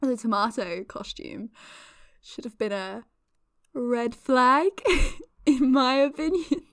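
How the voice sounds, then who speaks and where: A woman's voice: sits high, slow, fairly bright tone, heavily acted. A woman in her twenties with a British accent, playing a self-deprecating, awkward amateur podcaster in an audio drama, chatting in dry, hesitant asides. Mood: wry, confiding. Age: 20s.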